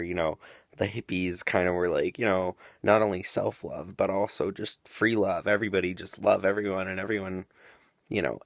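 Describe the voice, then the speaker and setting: Deep, gravelly-voiced young man rambling. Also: dry recording, studio quality. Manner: rambling, explanatory, frequent ums. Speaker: vlogger. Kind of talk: casual monologue to camera.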